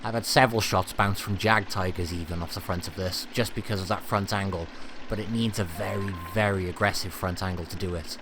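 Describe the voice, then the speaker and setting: Welsh man, fairly low voice, casual chatty game commentary, rumbling game noise behind. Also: steady tone, a little fast. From South Wales. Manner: chatty, jokey, enthusiastic. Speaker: video game reviewer. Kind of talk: casual gameplay commentary over footage.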